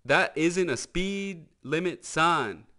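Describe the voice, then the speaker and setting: Man doing a folksy tow truck, pitched up. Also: lively intonation, takes it slowly, pushed and bright, heavily acted. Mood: earnest, instructive. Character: friendly, folksy male tow-truck deputy, cheerfully earnest.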